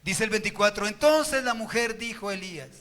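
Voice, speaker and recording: A man's voice: high voice, animated, a little fast, bright, forceful tone. A Colombian man preaching a sermon as pastor at a church service, firing rhetorical questions at the congregation.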